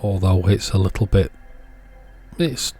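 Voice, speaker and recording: A man with a fairly low voice talks casually through a hands-on repair. He is unscripted, thinking aloud as he works, with music faintly present behind him. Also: a little slow, dark tone, husky. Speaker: host of an electronics repair channel.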